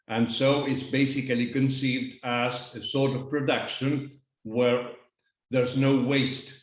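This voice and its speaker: A man moderating a panel discussion, speaking haltingly and often repeating words as he forms his questions. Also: medium pitch. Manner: hesitant, repeats words as he goes. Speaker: webinar moderator. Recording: roomy echoing webinar audio.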